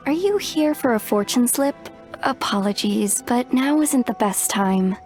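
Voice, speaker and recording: A woman's voice: on the high side, animated, measured pace, darkish tone, soft background music. A woman playing a polite, apologetic young shrine attendant in a video game, formal and slightly flustered.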